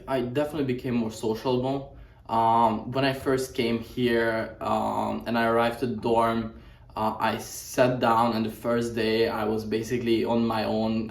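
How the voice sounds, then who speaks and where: A young man from Hungary, a boarding school student, giving earnest interview answers with many "uh" fillers. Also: medium pitch. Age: young adult. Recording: echoey room, mic at a distance.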